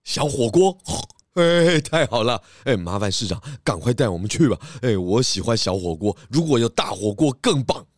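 A man voicing a sensible, food-loving pig detective in a children's radio drama, with a measured manner. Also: medium pitch, big pitch swings, dry recording, studio quality, close to an everyday voice. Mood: eager.

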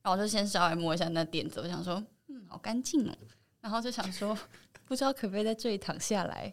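A woman's voice: mid-range voice, fast talker. A woman with a Taiwanese Mandarin accent, an actress guesting on a show and telling anecdotes in casual chat.

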